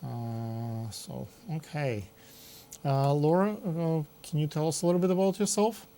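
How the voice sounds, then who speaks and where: A man hosting a public access TV interview, talking haltingly with many fillers and giving set directions. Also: a little high, steady tone, warm tone.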